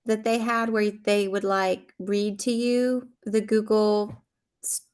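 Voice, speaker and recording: A woman teacher on a video call, her voice at a middle pitch. She speaks haltingly, searching for words as she reports a problem. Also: flat delivery, a little mellow, smooth. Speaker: teacher in an online meeting. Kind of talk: casual online meeting chat.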